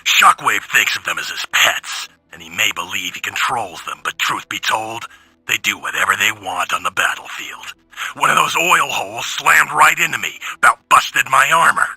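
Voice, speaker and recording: A sardonic robot soldier from a video game. The voice is sharp and raspy, gruff and full of wry complaint, recorded very dry and close. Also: male voice, fairly low pitch, lively intonation, normal speed, no room sound, full character voice, rough recording. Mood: disgruntled. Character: grumbling, sardonic male robot soldier giving war reports. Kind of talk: video game audio log acting.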